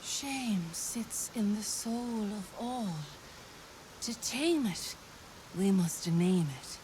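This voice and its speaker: A woman playing a teasing, mystical seductress in a fantasy video game, speaking slowly with theatrical pauses. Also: medium pitch, expressive, dark tone, a little hiss or hum, rough recording. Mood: sultry, probing. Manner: slow, theatrical, breathy dramatic pauses.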